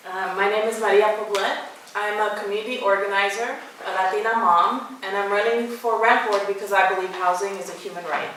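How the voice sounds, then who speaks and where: Woman candidate, bright voice, plain and direct, echoey room. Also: mid-range voice, fairly even intonation, brisk, a little hiss or hum. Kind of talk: campaign statement. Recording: echoey hall, voice from far off.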